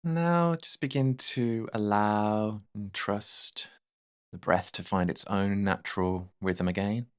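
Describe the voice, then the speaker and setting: A man leading a guided meditation in a gentle, soothing, unhurried manner. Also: lowish voice, clear, resonant tone, dry recording, clean studio sound.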